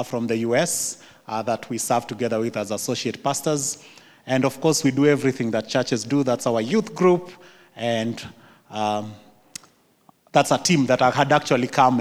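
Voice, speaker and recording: Kenyan pastor man, echoing church hall. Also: medium pitch. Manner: friendly, laughing, restarts often. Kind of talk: church presentation with slides. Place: church sanctuary. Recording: long hall reverb.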